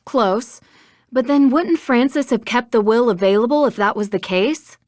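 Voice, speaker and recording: A woman voicing an inquisitive young American detective in a video game, speaking in a measured, thoughtful way. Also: fairly high pitch, a little mellow, no room sound, clean studio sound, lightly acted. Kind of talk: video game dialogue acting.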